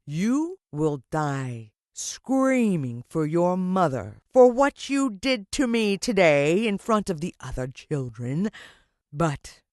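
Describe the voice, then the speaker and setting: A woman voicing a gloating, vengeful older male villain from a children's storybook, declaiming slowly and theatrically with menace. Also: low-pitched, a little mellow, dry recording, studio quality.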